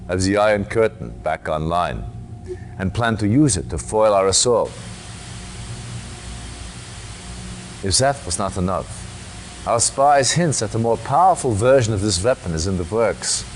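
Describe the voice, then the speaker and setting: An actor playing a stern, resolute military general in a game cutscene, giving orders with formal, clipped authority. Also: male voice, fairly low pitch, animated, normal speed, soft background music, natural voice. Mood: grave, resolute. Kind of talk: dramatic video game cutscene acting. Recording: long hall-like reverb.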